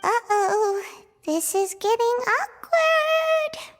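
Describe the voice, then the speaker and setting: A woman voicing a tiny, childlike, excitable fairy girl in a video game, squeaky and outspoken. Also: extremely slow, faint music behind, heavily acted. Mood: awkward. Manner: squeaky, indignant, refers to herself by name.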